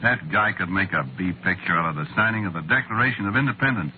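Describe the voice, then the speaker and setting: An actor playing a tired, sardonic, wisecracking screenwriter in an old radio drama, with slangy, wry delivery. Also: male voice, lowish voice, quick pace, lightly acted. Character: weary, wisecracking male Hollywood screenwriter, harried and sardonic. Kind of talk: old-time radio drama acting and first-person narration. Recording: muffled, noisy vintage radio recording.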